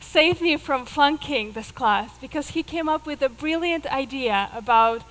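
A woman's voice: high-pitched, unhurried, a bit bright, long reverb tail, poor audio. A woman in her thirties from Russia with a Russian accent, telling a personal story in an earnest way as a speaker on a theater stage, miked with a headset. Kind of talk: live stage talk.

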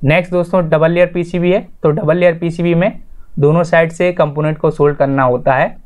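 A man's voice: on the high side, steady tone, fairly quick, darkish tone. A man hosting an informal explanatory voice-over lesson, addressing viewers directly in an instructional way and restating his points. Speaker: tutorial host.

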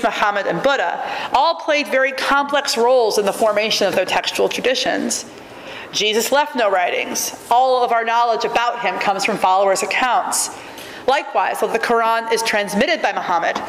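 A woman in her thirties reads a prepared academic lecture at a podium, measured and wryly emphatic, her fairly high voice carrying through a large auditorium. The sound is roomy with a long reverb tail and a faint hiss of room noise behind it. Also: a bit bright. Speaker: keynote lecturer. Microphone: podium microphone.

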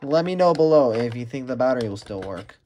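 A man's voice: medium pitch, expressive, quick pace, darkish tone. A man giving a casual, hesitant, rambling unboxing commentary, often restarting his sentences. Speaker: vlogger unboxing radios. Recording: noisy home recording with handling clicks.

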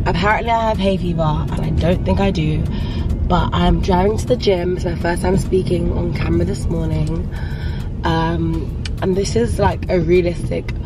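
A woman in her early twenties with a London accent, chatting casually in a slangy, playful way as she narrates a vlog. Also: fairly low pitch. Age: early 20s. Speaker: vlogger.

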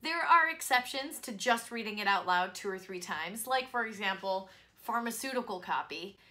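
A woman in her thirties giving scripted instructional advice to camera as a voice-over coach, in an expressive presenter's delivery. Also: mid-range voice, moderate pace. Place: small home studio, indoors.

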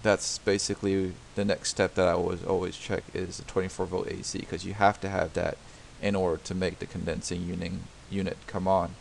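A man with a lowish, gravelly voice narrates a do-it-yourself repair tutorial over a diagram. He explains patiently, step by step, with frequent fillers and restarted sentences. A faint hiss sits under the voice. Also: little pitch movement, a little fast, few pauses. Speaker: DIY home repair tutor. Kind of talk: unscripted screen-recorded tutorial narration.

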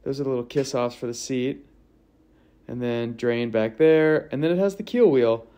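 A man's voice: mid-range voice, moderate pace, warm tone. A man narrating a walkthrough of a kayak's features in an easygoing manner, listing them in short phrases. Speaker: kayak reviewer.

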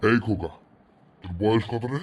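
A man's voice: lowish voice, moderate pace, a little hiss or hum, close to an everyday voice. A man voicing an indignant old grandpa who scolds reproachfully in a comic cartoon. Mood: scolding, suspicious. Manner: scandalised, reproachful.

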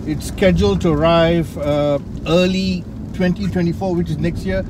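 A man's voice: a little high, steady tone, normal speed. A man giving casual driving commentary in clipped remarks from inside a moving car. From Malaysia. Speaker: car reviewer and test driver. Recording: loud car cabin and road noise. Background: loud car and road noise.

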